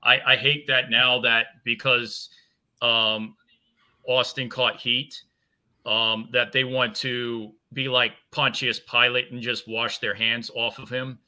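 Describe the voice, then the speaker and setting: A man gives his view in a casual group talk, measured and explanatory, with a few uh and um pauses. His voice is mid-pitched but very bright and cutting. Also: flat delivery. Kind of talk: casual debate commentary.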